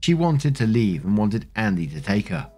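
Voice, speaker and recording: A man narrating online stories in a steady, even reading voice. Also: fairly low pitch, normal speed. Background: faint music.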